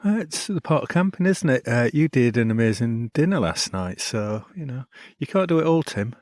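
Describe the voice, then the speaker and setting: A man's voice: mid-range voice, big pitch swings, normal speed, a little mellow, no room sound. A man co-hosting a podcast, chatting easily at a campsite in an upbeat way, praising gear and the site. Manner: easygoing, upbeat, recommends gear and sites. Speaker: podcast co-host. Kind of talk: casual chat outdoors at a campsite.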